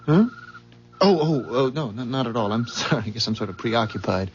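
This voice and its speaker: An actor playing a skeptical, curious everyday neighbor in a 1970s radio drama, speaking in a colloquial, incredulous, halting way. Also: male voice, medium pitch, lively intonation, faint music behind, lightly acted. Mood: uneasy. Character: skeptical, easily amazed everyday man, friendly curious neighbor. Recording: dull, muffled 1970s radio broadcast recording.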